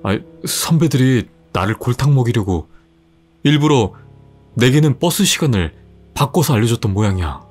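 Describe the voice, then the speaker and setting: A man's voice: medium pitch, lively intonation, normal speed, a little mellow, faint music behind, natural voice. A man voicing a young male student who shivers in the cold and talks in a shaky, puzzled, blunt way. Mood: shaken.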